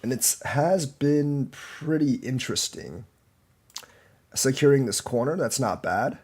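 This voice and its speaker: A man's voice: mid-range voice, takes it slowly, a little mellow, husky. A man with a slight cold and a slightly congested voice, rambling as he commentates his own board game live and critiques the moves. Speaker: go teacher streamer.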